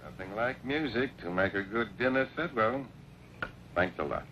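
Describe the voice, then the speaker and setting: An actor playing a polite, formal and smooth-mannered villain in an old-time radio western. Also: male voice, lowish voice, expressive, normal speed, slight background noise, close to an everyday voice. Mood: polite, reluctant. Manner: courteous, formal. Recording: dull old radio recording.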